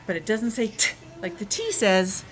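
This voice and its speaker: A woman's voice: medium pitch, sing-song, normal speed, music in the background, poor audio. A woman teaching young children in a playful, exclamatory way, asking questions and sounding out words. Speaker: preschool teacher. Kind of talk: interactive lesson for young children.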